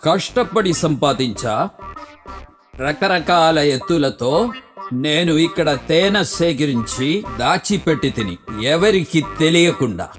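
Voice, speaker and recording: A man voicing a self-satisfied, hard-working bear in a children's animated fable, musing aloud in drawn-out phrases. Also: a little high, soft background music. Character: hard-working bear proud of his honey hoard. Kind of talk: animated children's story acting.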